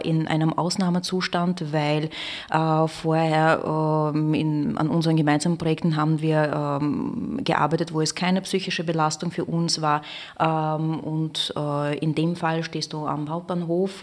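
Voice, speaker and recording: A woman with a Russian accent co-hosting a podcast, speaking haltingly with many filler sounds. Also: deep voice. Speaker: podcast co-host, translation student. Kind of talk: hesitant podcast talk.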